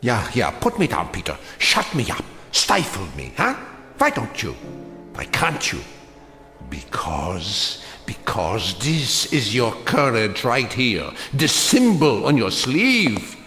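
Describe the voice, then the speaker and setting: An actor playing a weary, anguished older German immigrant in a radio drama, speaking with bitter, emphatic rhetoric. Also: male voice, mid-range voice, wildly swooping pitch, pushed and bright, faint music behind, full character voice. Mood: bitter challenge. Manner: slow, emphatic, bitterly rhetorical with repeated phrases. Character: weary old German immigrant man, anguished, defiant, scornful of fascists. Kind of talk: theatrical radio drama acting.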